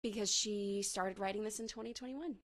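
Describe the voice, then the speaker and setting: A young woman from Texas co-hosting a casual podcast, gushing and excitable as she chats about pop music. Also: mid-range voice, brisk. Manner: excitable, gushing fan talk with laughs. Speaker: podcast co-host. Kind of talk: casual chat.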